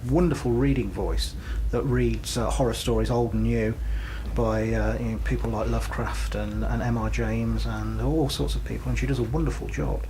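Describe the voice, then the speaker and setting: British guy on radio, halting keen chat, average pitch, bit of noise behind him. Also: fairly even intonation, warm tone, rough recording. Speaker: radio show co-host.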